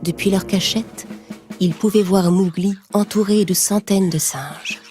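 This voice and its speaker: A woman's voice: medium pitch, steady tone, a little slow, warm tone. A woman storyteller reading a scripted children's tale in narration style. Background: music.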